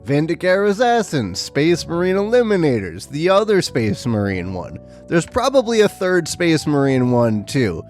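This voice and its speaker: A man hosting a tabletop gaming video, his voice a little rough from recent illness, with sarcastic, exasperated commentary and dry jokes. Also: fairly high pitch, wildly swooping pitch, normal speed, few pauses, soft background music. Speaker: tabletop wargaming YouTuber. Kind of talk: casual commentary to camera.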